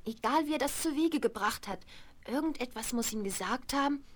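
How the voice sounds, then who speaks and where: A woman playing an excitable, imaginative young girl in a 1970s German children's radio play. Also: high voice, fairly quick. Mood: excited, certain. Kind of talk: 1970s German children's audio drama acting. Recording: vinyl record transfer, faint noise, clicks.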